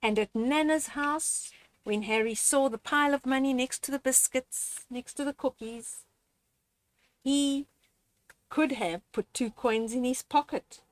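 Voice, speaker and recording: An older woman reading a picture book aloud to young children and explaining its lessons in a gently instructive way, speaking to them directly. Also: high voice, measured pace, rough recording. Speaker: storyteller reading children's books. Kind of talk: read-aloud picture book with moral commentary.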